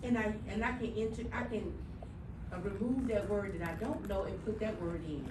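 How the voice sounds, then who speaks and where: A woman's voice: mid-range voice, moderate pace, noisy background. Emphatic woman teaching a classroom. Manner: emphatic, checks in with students often. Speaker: middle school teacher. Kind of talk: classroom instruction. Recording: echoey classroom, mic far off.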